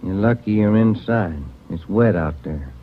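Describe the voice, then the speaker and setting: A man playing a brisk police driver in a 1940s radio drama. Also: lowish voice, natural voice. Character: eager young male police driver. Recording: dull old radio broadcast with car noise.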